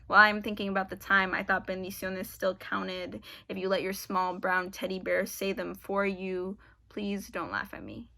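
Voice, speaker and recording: A woman performing her spoken word poem in a deliberate, rhythmic delivery over a video call from home. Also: medium pitch, creaky, pushed and bright. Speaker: poet.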